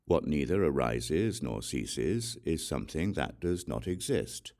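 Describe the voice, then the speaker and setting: A man reading a Buddhist scripture as an audiobook narrator, in a measured, phrase-by-phrase delivery. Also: a bass voice, lively intonation, creaky, a little mellow. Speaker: audiobook reader.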